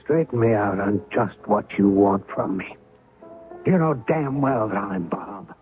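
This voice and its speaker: Through a tinny vintage radio sound comes a male actor as a bitter middle-aged spy with a lowish voice. He is curt, sardonic and biting, in the style of a 1970s radio thriller, with faint music behind him. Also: measured pace, lightly acted. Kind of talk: 1970s radio drama acting.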